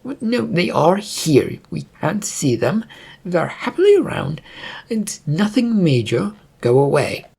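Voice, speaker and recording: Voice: mid-range voice, very animated, a little slow, vocal fry, dark tone, slightly rough, pitched above the performer's own voice, full character voice. A narrator voicing a haughty, paranoid fantasy prince in an imperious, indignant manner for a story.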